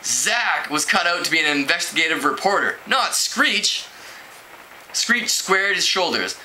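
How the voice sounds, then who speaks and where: A man reading a teen novel aloud in an expressive way, as an amateur reader would at home. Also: a little slow. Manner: expressive, acts out dialogue with pitch jumps. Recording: clearly noisy home recording.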